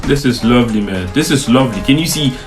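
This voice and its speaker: A man's voice: mid-range voice, lively intonation, quick pace, music in the background. Young adult man from Africa, mid-range voice; emphatic, chatty vlog reaction, peppered with slang. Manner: emphatic, repeats "bro" and "you get me". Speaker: vlogger reacting to footage. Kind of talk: casual reaction commentary.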